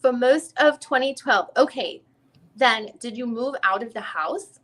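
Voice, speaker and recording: A woman reading the part of a female lawyer who questions a witness in a deposition, in halting, rephrased questions. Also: on the high side, fairly bright tone. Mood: probing. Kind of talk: dramatic reading of a legal deposition transcript.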